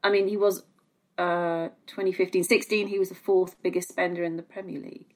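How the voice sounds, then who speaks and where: A woman's voice: lowish voice, level tone, a little fast. A woman with a British accent giving conversational opinion as a guest pundit, circling back over words as she makes her point. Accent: British English. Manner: hesitant phrasing, repeats words for emphasis. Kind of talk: conversational opinion, podcast discussion.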